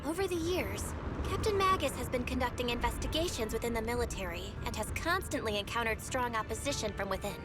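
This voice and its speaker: A woman voicing a sharp, resolute young heroine in a video game, with an earnest, deliberate and pointed delivery. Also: on the high side, expressive, lightly acted. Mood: accusing, earnest. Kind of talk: dramatic video game voice acting. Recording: loud music behind the voice. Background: dramatic game music.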